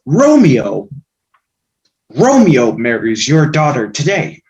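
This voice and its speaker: A woman's voice: very deep voice, wildly swooping pitch, takes it slowly, a little mellow, muffled recording, heavily acted. A woman in a comedic play reading, playing a scheming, exasperated parent with a theatrical, sarcastic delivery. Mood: strained politeness.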